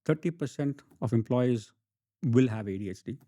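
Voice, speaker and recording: A middle-aged man with an Indian accent and a dark, mellow voice of medium pitch. He talks conversationally as an interview guest, making his points with emphasis and often tagging them with a questioning check-in. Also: moderate pace. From Bangalore, India. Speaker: interview guest, entrepreneur and author.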